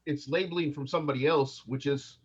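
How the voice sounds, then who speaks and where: A man's voice: a little high, fairly even intonation, a little fast, slightly rough. A man from the United States co-hosting a casual debate, repeating himself to drive his point home. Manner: insistent, repeats himself for emphasis. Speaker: podcast co-host. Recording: dull lo-fi audio.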